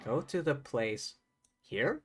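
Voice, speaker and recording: A man's voice: mid-range voice, big pitch swings, slow, noisy background. A man giving casual, wry live commentary as he streams a video game, with game music behind him. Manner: wry, sarcastic.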